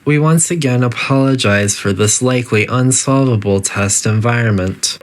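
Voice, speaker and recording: A performer voicing a polite, coolly menacing computer announcer in a video game, speaking in a formal, measured, detached way. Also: mid-range voice, close to an everyday voice. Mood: deadpan, discouraging. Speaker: facility announcer guiding test subjects.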